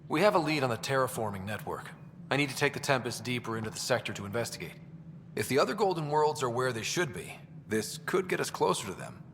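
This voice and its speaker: An actor playing a level-headed young space explorer in a video game, plain-spoken and respectful. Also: male voice, medium pitch, sing-song, quick pace, a bit bright, a little hiss or hum. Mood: businesslike. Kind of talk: video game cutscene acting. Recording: reverberant hall with background music.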